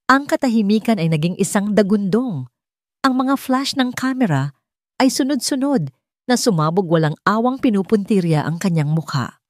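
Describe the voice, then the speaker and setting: A woman's voice: medium pitch, dry recording, studio quality. A woman with a Filipino accent reading a dramatic scripted story as a narrator.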